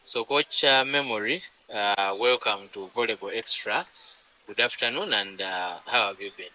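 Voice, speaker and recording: A man hosting a sports talk show, speaking slowly with many filler sounds. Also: on the low side, sharp tone, dull audio. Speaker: show host.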